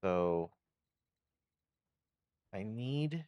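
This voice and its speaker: A man's voice: on the low side, lively intonation, very slow, a little mellow. A man giving casual video game commentary as a streamer, thinking aloud through tactics in short bursts. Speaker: gameplay streamer.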